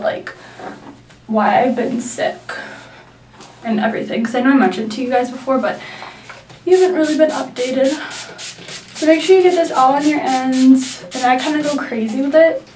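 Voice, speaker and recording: A woman's voice: high-pitched, normal speed, pauses often, a little mellow, far from the mic. A young woman with a nasal voice from a sinus infection, chatting through a hair tutorial with many likes and ums. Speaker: beauty vlogger. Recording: echoey room, mic set back.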